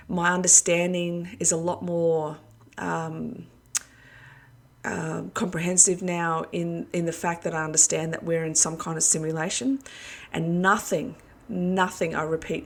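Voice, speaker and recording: A woman speaks to her online followers as a preacher, hoarse as though losing her voice. Her tone is low and gravelly with little rise and fall. She rambles earnestly, leaning on fillers as she goes. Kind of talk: casual video address to followers.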